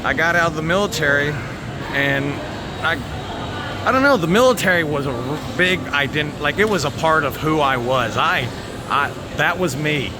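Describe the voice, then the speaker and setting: An American man chatting casually and candidly to viewers, rambling and haltingly, with plenty of swearing. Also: on the high side, lively intonation, background noise. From United States. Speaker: vlogger.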